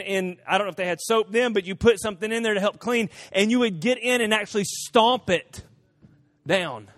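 A middle-aged man preaching a sermon, telling stories in an animated way, rising to emphatic peaks and dropping to hushed asides. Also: sits high. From Central America. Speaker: pastor.

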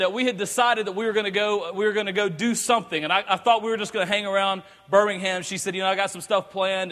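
A man, preaching an excitable, joking sermon and often stammering and repeating words. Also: high-pitched, in a large hall. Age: 30s. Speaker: pastor. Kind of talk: energetic sermon. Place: large church hall.

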